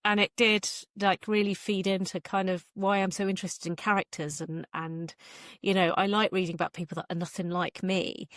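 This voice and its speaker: A woman's voice: on the low side, fairly bright tone, no echo, studio quality. A middle-aged woman being interviewed as an author, chatting casually with self-deprecating asides. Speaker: author being interviewed, former magazine journalist.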